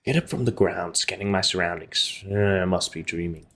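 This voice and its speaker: A man's voice: low voice, normal speed, fairly bright tone. A man hosting a gaming video, reading visual novel narration aloud and adding casual commentary.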